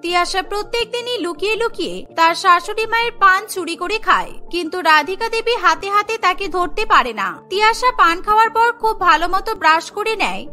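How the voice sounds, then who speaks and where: A woman narrates a cartoon story from a script. Her voice is very high and bright, with light music playing behind it.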